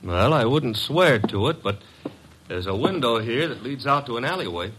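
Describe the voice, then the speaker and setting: An actor playing a young, cheeky detective's assistant in an old radio drama, flippant, slangy and streetwise. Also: male voice, mid-range voice, expressive, moderate pace, fairly bright tone, slight background noise, lightly acted, poor audio. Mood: wry.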